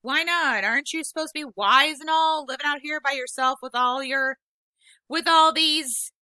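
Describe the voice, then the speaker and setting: Narrator voices a defensive, tearful boy, pitched up and bright. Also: animated, moderate pace, dry recording. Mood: challenging. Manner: raw, defensive, voice rising in protest. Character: upset 12-year-old boy, frustrated and tearful.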